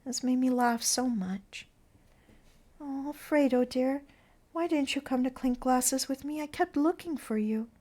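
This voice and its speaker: A woman's voice: high voice, unhurried, darkish tone, pitched above the performer's own voice. A woman voicing a sweet, nervous young circus performer who pleads and gushes girlishly in a theatrical play reading. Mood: gushing, affectionate.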